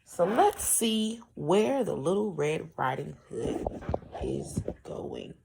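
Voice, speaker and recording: A woman's voice: on the low side, huge pitch swings, takes it slowly, creaky, noisy background. A woman teaching young children through a read-aloud, explaining and prompting with questions in an instructive way.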